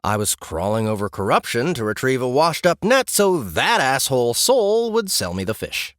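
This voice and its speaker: A male narrator voicing a sarcastic young man, with a lively delivery. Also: mid-range voice, moderate pace, no echo, studio quality, voice pushed up. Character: sarcastic young man pulled into a game world.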